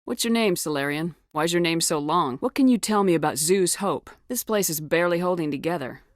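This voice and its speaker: A woman's voice: on the low side, a little fast, dry recording, studio quality, natural voice. An actress playing a tough, resolute woman starship commander in a video game, speaking bluntly and with authority. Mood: businesslike, direct. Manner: blunt, authoritative, clipped questioning.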